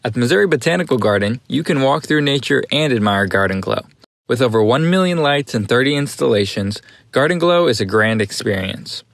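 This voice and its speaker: A male news anchor reads the links from the studio. His voice sits at a middle pitch, with even, scripted delivery. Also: fairly quick, vocal fry, dry recording.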